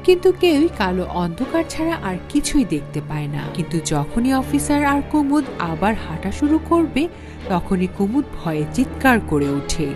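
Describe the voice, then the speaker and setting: A woman's voice: medium pitch, big pitch swings, moderate pace. A woman narrating a scripted horror story in a suspenseful, theatrical manner. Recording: background music under the voice.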